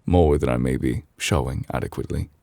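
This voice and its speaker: Man playing an ancient immortal judge, extremely low voice, gravelly and breathy, formal, measured, solemn yet gentle, intimate ASMR roleplay. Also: no room sound. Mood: grateful, gentle. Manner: formal, measured, halting, softly confiding.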